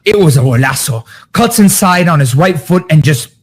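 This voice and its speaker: A man analyzes soccer players in a casual podcast chat. His voice sits a little high and turns animated, with sudden rises when he gets excited. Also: breathy edge. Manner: animated, quick pitch jumps when excited.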